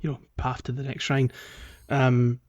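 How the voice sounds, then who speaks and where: A man's voice: medium pitch, completely flat delivery, measured pace, a little mellow, dull audio. A man hosting a podcast, talking through a game in a casual, rambling, conversational way. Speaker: podcast host.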